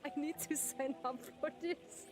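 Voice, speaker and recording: Giggly woman chatting slowly. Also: high-pitched, steady tone. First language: German. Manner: giggly, laughs often. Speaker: streamer. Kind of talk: casual chat with viewers. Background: game music.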